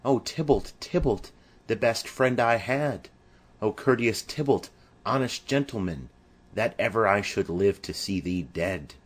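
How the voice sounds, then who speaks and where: A man's voice: on the low side, lively intonation, a little slow. A man voicing a distraught, wailing female servant who laments in repeated phrases, in a Shakespearean audiobook. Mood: grieving. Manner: lamenting, repetitive.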